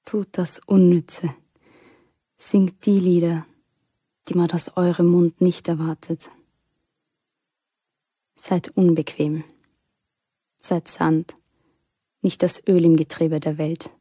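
A woman reciting a warning poem in a radio play, grave and solemn, with a husky voice. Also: lowish voice, level tone, mellow, no room sound, studio quality. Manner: solemn, measured.

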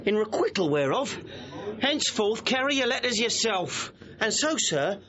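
An actor playing an impudent, quick-witted male servant in a Shakespearean comedy, with a theatrical delivery. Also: high-pitched, sing-song, muffled recording, crowd noise. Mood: mock grievance. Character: cheeky quick-witted male servant, punning, money-grubbing. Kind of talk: classical Shakespearean comic acting.

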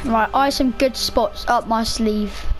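A young woman chatting casually over her own gameplay, rambling to herself, her voice a little rough from a cough. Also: a little high, steady tone, measured pace, faint music behind, rough recording. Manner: chatty, rambling, self-talking. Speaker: gaming video creator.

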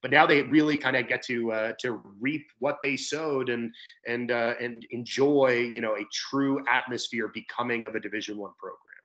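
A young man talks as an interview guest on a video call, in an average-pitched voice. He is earnest and emphatic, making his case conversationally with rhetorical questions. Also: fairly even intonation, moderate pace, fairly bright tone, lo-fi sound. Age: young adult. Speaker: sports radio play-by-play broadcaster, guest. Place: indoor room at home, video call. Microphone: video call, close to webcam.

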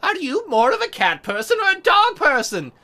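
A man voicing a teasing young female demon dealmaker in a coy, formal, measured way for a game. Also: extremely high pitch, wildly swooping pitch, sharp tone, voice pushed up, heavily acted. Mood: coy, businesslike.

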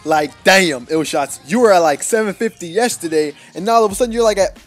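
A nineteen-year-old man from Mexico doing casual, rambling voice-over commentary on his mobile gameplay, excitable and full of jokes. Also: high voice, quick pace, faint music behind. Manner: excitable, joking, rambling with lots of fillers. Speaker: mobile gaming YouTuber. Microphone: voice-over recorded apart from the gameplay.

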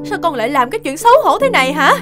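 A woman's voice: high voice, sing-song, very fast, music in the background. A woman voicing an overprotective middle-aged mother in a cartoon, anxious, insistent and domineering. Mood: shocked, scolding. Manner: fretful, insistent.

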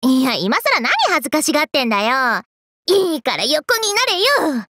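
A woman's voice: high voice, sing-song, moderate pace, sharp tone, no room sound, studio quality, exaggerated voice. A woman voicing a brash, playful, hyper young woman from an anime game, with cocky, slangy, teasing delivery. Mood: flustered teasing. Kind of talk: Japanese anime game character voice lines.

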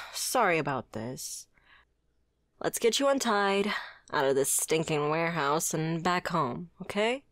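Woman acting as a sardonic flirty mob boss lady, lowish voice, asmr roleplay, close dry mic. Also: dry recording. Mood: reassuring, flirtatious. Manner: sardonic, conversational, swearing. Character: wry, exasperated, flirtatious female mafia boss with principles.

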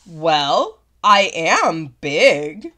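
A woman voicing a huge, gentle, lonely storybook whale with a booming, plaintive, drawn-out delivery. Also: big pitch swings, exaggerated voice. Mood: mournful. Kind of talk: storybook character voice.

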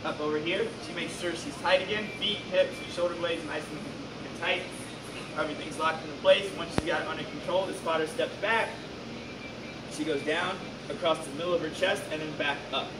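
A man's voice: fairly high pitch, steady tone, normal speed. A man coaching a group through lifts in a large indoor gym, giving firm step-by-step cues. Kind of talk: instructing a workout. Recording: echoey gym from far off, loud air-conditioning hum.

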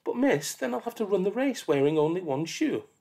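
Storybook kid: male reader doing a high, pleading, whiny pupil. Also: sing-song, brisk, creaky, warm tone, full character voice. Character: timid young schoolchild, pleading. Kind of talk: audiobook character voice.

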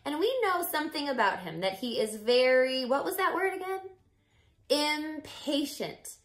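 Female children's read-aloud teacher, thirties: high voice, very animated intonation, engaging questions. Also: moderate pace, echoey room. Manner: expressive, asking the listener questions. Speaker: teacher reading picture books aloud. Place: bright room at home by windows.

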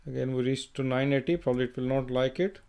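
A man talks through a software tutorial at an unhurried pace, thinking aloud and restarting his sentences as he goes. His voice sits at a medium pitch, and keyboard typing clicks in the background. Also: steady tone, smooth. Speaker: software trainer. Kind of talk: screen-recorded tutorial walkthrough.

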